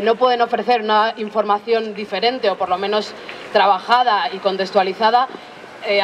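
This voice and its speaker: Young adult speaker making a measured point outdoors. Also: medium pitch. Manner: measured, explanatory, frequent filler sounds. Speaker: audience member at a public assembly. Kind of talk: spontaneous public debate contribution. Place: outdoor street assembly. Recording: outdoor recording with faint street noise.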